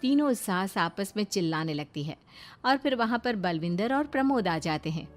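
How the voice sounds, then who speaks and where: A woman's voice: mid-range voice, expressive, moderate pace, over music. A woman narrating a scripted cartoon story.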